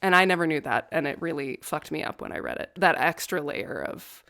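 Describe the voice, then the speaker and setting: A woman's voice: low-pitched, lively intonation, a little fast, vocal fry, fairly bright tone. A young adult woman co-hosting a podcast, telling a history story in casual chat with wry, profane asides and a nerdy interest in law. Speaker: podcast co-host.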